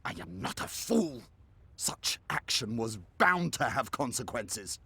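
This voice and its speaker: A man playing a bitter, scheming dark elf leader in a fantasy video game, husky and raspy, severe and accusing. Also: medium pitch, very animated, a little slow, dry recording, heavily acted. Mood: stern, irritated.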